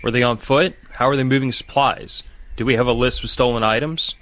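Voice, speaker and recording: An actor playing a brisk, level-headed army major in an audio drama, giving clipped orders and questions. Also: male voice, mid-range voice, fairly even intonation, a bit bright, close to an everyday voice. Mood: brisk, commanding.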